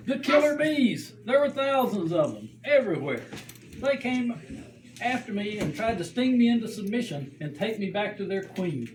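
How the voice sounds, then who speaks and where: A performer voicing an excitable, adventurous puppet in a children's church show, telling things with dramatic exaggeration. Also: mid-range voice, moderate pace, natural voice. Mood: frantic. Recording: roomy, distant mic, faint background noise.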